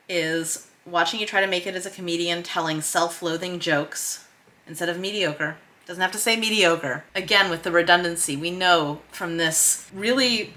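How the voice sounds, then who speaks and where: A woman's voice: on the low side, sharp tone. A woman talking casually and emphatically, thinking aloud as she explains her work in a vlog. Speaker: screenwriter and vlog host. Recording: noticeable background noise.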